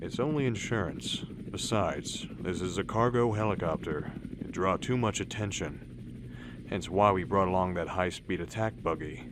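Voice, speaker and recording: A man playing a stern older military commander in a radio drama, speaking in a measured, authoritative way. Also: low-pitched, background noise, lightly acted. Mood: firm.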